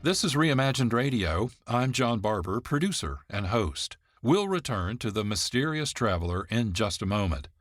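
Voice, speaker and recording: A man's voice: medium pitch, sing-song, moderate pace, hardly any pauses, soft background music. A man who is the producer and host, announcing the show.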